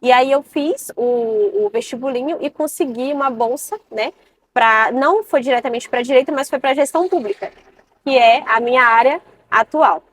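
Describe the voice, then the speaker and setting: A woman in her twenties from Salvador, Brazil, talking earnestly as a podcast guest and often pausing and adding "né". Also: high-pitched, expressive. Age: 20s. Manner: earnest, with many hesitations and "né" tags. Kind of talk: casual interview chat.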